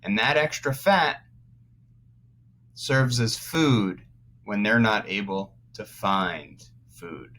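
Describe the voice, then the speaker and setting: A man teaching young children through a read-aloud, pausing to explain words as he goes. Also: on the low side, pushed and bright, a little hiss or hum. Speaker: first-grade teacher.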